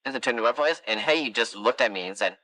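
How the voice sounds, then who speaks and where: A rambling animatronic, voiced by a man, spins a meandering anecdote in a game. The voice is bright and forceful, sitting at an everyday pitch. It is recorded dry and close, with no room sound. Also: lively intonation, fast, no echo, poor audio. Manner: long-winded, wandering anecdotes, dry musings. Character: chatty rambling animatronic, wistful storyteller. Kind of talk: fan game voice lines.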